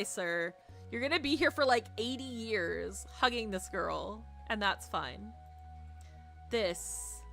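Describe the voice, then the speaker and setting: A woman with a slightly high, bright voice reads a visual novel aloud on a stream. She narrates expressively and slips into playful, teasing asides. Soft music plays behind her.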